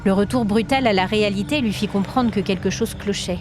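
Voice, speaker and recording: A woman's voice: medium pitch, a little fast. Woman storyteller, mid-range voice, scripted dramatic narration, suspenseful delivery, prominent background music.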